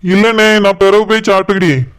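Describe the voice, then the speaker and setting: A woman voicing a polite, soft-mannered young village man in a cartoon, agreeable in the way the lines are spoken. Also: medium pitch, quick pace, clean tone, lightly acted. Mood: deferential. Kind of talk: cartoon drama dialogue.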